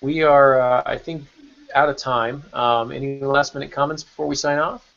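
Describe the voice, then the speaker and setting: A man's voice: medium pitch, fairly even intonation, normal speed, vocal fry, a bit bright. A man hosting a casual podcast panel chat in a joking, rambling way over an online call. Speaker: podcast host. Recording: dull lo-fi online call audio.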